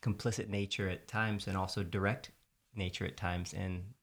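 A man's voice: sits low, flat delivery, moderate pace, creaky. A man from South Texas, a podcast host, talking reflectively in a casual conversation and searching for his words.

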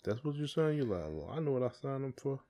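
A man's voice: mid-range voice, big pitch swings, fast talker, dark tone. A man hosting a casual podcast chat, talking in an informal, colloquial way. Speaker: podcast host.

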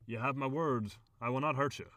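An earnest young storybook boy, played by a man, plain-spoken and determined. The voice sits at a medium pitch and sounds dry and close. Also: a little fast, fairly bright tone, no room sound, natural voice. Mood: kind, earnest.

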